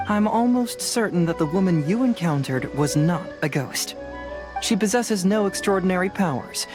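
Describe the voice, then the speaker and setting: A man's voice: a little high, close to an everyday voice. Man as a composed, analytical young detective. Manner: measured, analytical, articulate. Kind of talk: video game voice acting.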